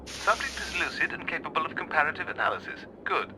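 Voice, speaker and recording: An actor playing a clinical, sinister interrogator scientist in a video game, prim and menacing. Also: male voice, a little high, very animated, quick pace, crowd noise, rough recording. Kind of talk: video game cutscene acting.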